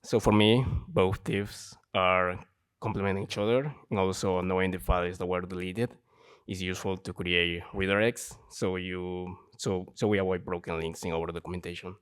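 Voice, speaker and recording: A man from Ecuador with an Ecuadorian Spanish accent, a software developer giving a short conference talk. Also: sits low, steady tone, normal speed, clear, resonant tone. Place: conference stage.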